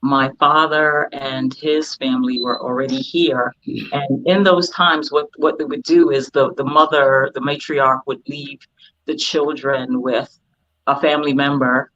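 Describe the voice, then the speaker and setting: Measured woman in her fifties, dull lo-fi audio. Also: a bass voice, fairly even intonation, a bit bright. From Barbados, moved to the United States at ten. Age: 50s. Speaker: guest priestess. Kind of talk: casual reflective interview talk.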